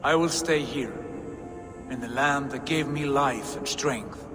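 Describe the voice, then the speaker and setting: An actor playing a stern, proud Viking jarl, speaking in a grave, measured and formal way, as in a dramatic game cutscene. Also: male voice, mid-range voice, lightly acted. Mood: resolute, solemn. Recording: long reverb, loud music behind.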